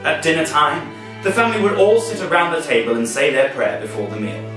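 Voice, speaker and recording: A man's voice: fairly high pitch, fairly quick. Male storyteller, whimsical mock-formal delivery, very echoey, distant from the mic, music behind. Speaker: storybook reader. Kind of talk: scripted comic storytelling with asides.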